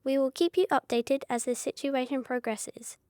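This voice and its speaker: A woman's voice: sits high, moderate pace, warm tone, dry recording, clean studio sound, close to an everyday voice. A young woman playing a polished, composed news anchor in a scripted radio drama. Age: young adult. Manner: formal, composed newsreading.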